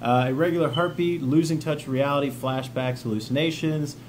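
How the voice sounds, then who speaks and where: A man's voice: fairly high pitch, fairly even intonation, fairly quick. A man speaking in a casual, plain-spoken, encouraging way, giving informal instruction partly read from notes, as the host of a vlog. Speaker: vlog host, peer mentor for trauma coping. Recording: clearly noisy ambient background.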